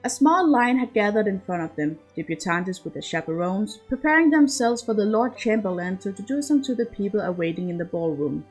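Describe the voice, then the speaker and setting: A woman narrates a story from a script, in the way of an amateur audiobook. Her voice has a middling pitch. Faint music plays behind the reading. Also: expressive, a little fast, few pauses, darkish tone, breathy edge, poor audio.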